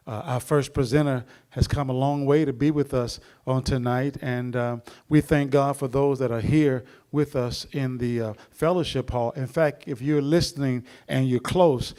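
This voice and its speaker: Rambling middle-aged pastor introducing a speaker. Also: male voice, medium pitch, dark tone. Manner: rambling, many "uh" fillers, preacherly. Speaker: pastor hosting the seminar. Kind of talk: church event introduction.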